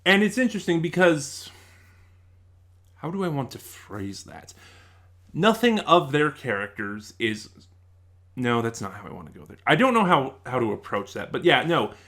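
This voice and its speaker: Guy doing an off the cuff review, mid pitched voice, casual, kinda halting and rewording stuff as he goes. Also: big pitch swings, fairly bright tone. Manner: halting, rephrases and searches for words. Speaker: YouTube reviewer. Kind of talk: casual unscripted audio drama review.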